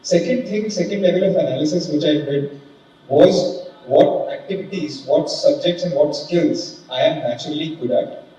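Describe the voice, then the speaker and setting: A man's voice: a little high, moderate pace, warm tone. A man in his twenties, a guest speaker, giving a formal, earnest motivational talk. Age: 20s. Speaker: guest speaker, civil judge. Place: large conference hall. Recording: echoey hall, distant and dull sound, faint noise.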